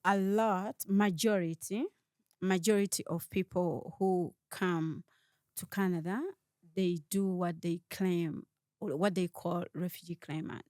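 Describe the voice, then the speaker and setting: Woman calmly explaining step by step. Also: fairly low pitch, dry recording, clean studio sound. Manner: explanatory, measured, with hesitant restarts. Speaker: guest advising on moving to Canada. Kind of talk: conversational interview answers.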